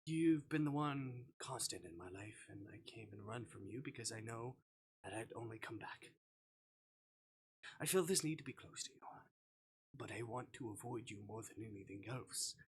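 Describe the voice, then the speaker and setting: A man reading aloud the part of a teasing, brooding young man in a visual novel, in a soft, understated delivery. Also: lowish voice, moderate pace, slight background noise, lightly acted. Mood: quiet, troubled.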